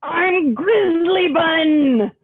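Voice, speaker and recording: A woman's voice: very high voice, extremely slow, phone-quality sound, slight background noise, pitched above the performer's own voice, full character voice. Woman doing a roaring bunny who thinks she's a bear. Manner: loud and roaring. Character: loud, burly female bunny who acts like a bear.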